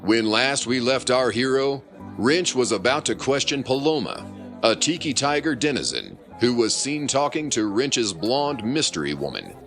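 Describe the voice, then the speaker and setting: Wildly dramatic pulp serial narrator. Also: male voice, mid-range voice, very animated, moderate pace, fairly bright tone. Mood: mock-heroic. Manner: pompous, dramatic. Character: melodramatic pulp serial announcer, mock-heroic and grandiose. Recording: music bed under the voice. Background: guitar music.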